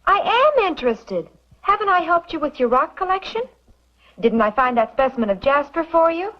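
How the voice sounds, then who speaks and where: An American actress playing a kind, gentle young woman from a mining camp in a vintage western. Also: high voice, big pitch swings, moderate pace. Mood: friendly, apologetic. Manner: gentle, friendly. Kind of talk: 1950s-60s western TV acting. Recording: dull vintage soundtrack, faint music behind.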